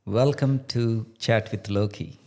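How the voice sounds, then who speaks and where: A man hosting a podcast, asking questions in a slow, measured way. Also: on the low side, a little mellow. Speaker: podcast host. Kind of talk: podcast interview.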